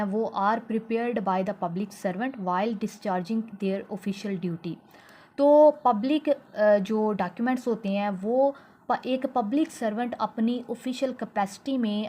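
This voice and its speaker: A woman with a Pakistani accent giving an explanatory law lecture, slowing down to read English definitions and then explaining them plainly. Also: medium pitch, a little mellow. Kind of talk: explanatory lecture mixing in English legal terms.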